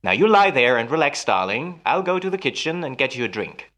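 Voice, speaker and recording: Guy doing a smooth husband in an old radio drama, really animated pitch, soothing, patronizing, kinda menacing underneath. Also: brisk. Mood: soothing concern. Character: smooth, solicitous husband with a quietly menacing edge. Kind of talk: old-time radio melodrama acting.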